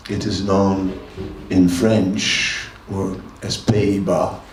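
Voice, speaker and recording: A man's voice: deep voice, flat delivery, extremely slow, a little hiss or hum. An older man, a monk giving a temple class, telling stories in an easy conversational way and chuckling often. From Netherlands. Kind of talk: temple lecture with anecdotes. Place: temple hall. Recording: roomy hall from a distance.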